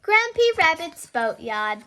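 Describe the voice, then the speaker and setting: A woman narrating a picture book for young children as a storyteller, in an animated, deliberate sing-song way. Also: very high-pitched, slight background noise. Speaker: children's read-aloud storyteller, channel host.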